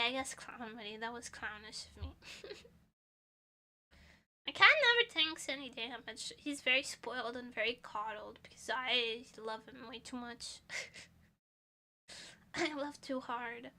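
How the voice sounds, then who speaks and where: Giggly young woman streaming, high bright voice, chats w chat, gets loud then mumbly. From Portugal. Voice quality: giggly, chatty, drifts between loud bursts and soft mumbles. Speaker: vtuber streamer. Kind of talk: casual stream chat answering chat.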